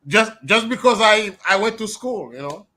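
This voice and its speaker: Haitian-born older man, higher voice. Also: lively intonation, sharp tone. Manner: measured, reflective, with many fillers. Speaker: guest. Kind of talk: casual interview talk.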